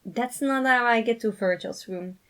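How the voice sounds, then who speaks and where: A woman's voice: fairly high pitch, normal speed, a bit bright. A woman voicing a timid, cautious young man in a fan fiction reading, speaking hesitantly. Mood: wary. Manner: hesitant, muttering.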